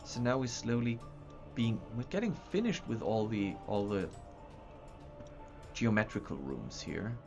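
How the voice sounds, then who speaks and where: A man's voice: on the low side, animated, a little slow, vocal fry, pauses often. A man hosting a livestream, chatting casually in a rambling way with stumbling restarts and repeated words. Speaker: livestream host showing map software. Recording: dull lo-fi sound with faint music.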